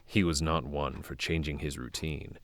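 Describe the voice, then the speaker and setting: A man's voice: a bass voice, fairly even intonation, normal speed, slight background noise. A man narrating a fantasy audio drama as a solemn storyteller, reading scripted prose. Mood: measured.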